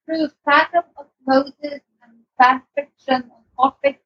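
A woman's voice: high voice, steady tone, unhurried, dry recording, muffled recording. A woman co-hosting a podcast, chatting casually in hesitant, broken phrases. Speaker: podcast co-host. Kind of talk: casual chat.

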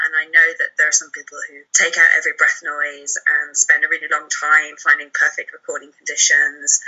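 A woman giving reflective personal commentary in an articulate, measured way. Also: low voice, sharp tone, husky, lo-fi sound. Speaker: podcast contributor in a clip.

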